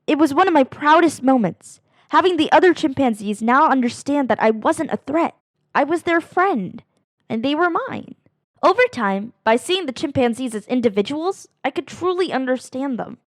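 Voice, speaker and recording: A woman reading a children's picture book aloud in an animated, expressive way, drawing out phrases for dramatic emphasis. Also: high voice, moderate pace, no echo, studio quality. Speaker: read-aloud narrator of children's books.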